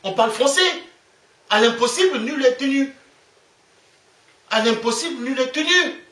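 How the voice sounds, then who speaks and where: Impassioned high-voiced Congolese man, echoey. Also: normal speed, bright, forceful tone, far from the mic. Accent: Congolese French. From Republic of the Congo. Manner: emphatic, repeats his phrases, preachy. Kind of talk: impassioned monologue. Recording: echoey room, away from the mic.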